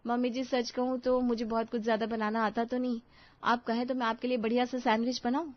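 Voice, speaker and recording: A woman's voice: on the high side, level tone, brisk, no room sound, dull audio, natural voice. A woman voicing a young, eager new bride, polite and chatty in a dramatized family story. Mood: meek, polite.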